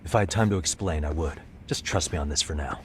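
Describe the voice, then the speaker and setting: An actor playing a cool, probing private detective with a wry, measured delivery in a video game cutscene. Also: male voice, very deep voice, noisy background. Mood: wry, composed. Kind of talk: video game cutscene acting, English dub.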